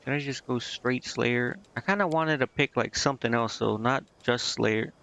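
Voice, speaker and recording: Gravelly-voiced man casually streaming a game. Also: mid-range voice, moderate pace, a bit bright. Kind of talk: casual gameplay commentary, thinking aloud. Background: game music.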